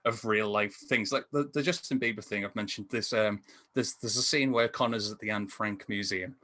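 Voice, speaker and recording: A man's voice: fairly low pitch, moderate pace, sharp tone. A man in his thirties casually reviewing films in a rambling, enthusiastic way over a headset. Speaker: podcast film reviewer. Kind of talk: casual film discussion. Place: indoor room at home, on a video call.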